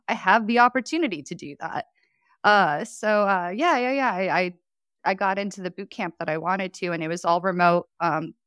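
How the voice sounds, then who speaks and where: A woman from Chicago talks through her story as a guest on a podcast. She is chatty and relaxed, with plenty of fillers and small laughs. Her voice sits a little low, and the recording is dry and close. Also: no room sound. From Chicago, United States. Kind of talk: casual storytelling interview.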